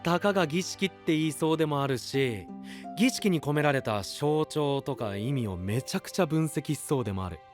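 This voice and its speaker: A man's voice: fairly high pitch, animated, moderate pace, faint music behind, lightly acted. A man as a friendly, easygoing young knight. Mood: curious, teasing. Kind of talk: anime voice drama acting.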